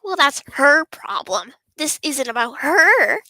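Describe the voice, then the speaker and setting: A woman voicing a feisty, sharp-tongued schoolgirl in a visual novel, snappy and confrontational. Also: high-pitched, big pitch swings, takes it slowly, no echo, heavily acted. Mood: defiant.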